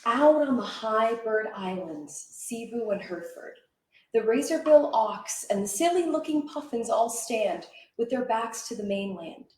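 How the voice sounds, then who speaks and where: A woman reciting poetry as a dramatic reader, with measured, expressive and deliberate phrasing. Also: a little high. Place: indoor library event room. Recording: echoey room, live reading.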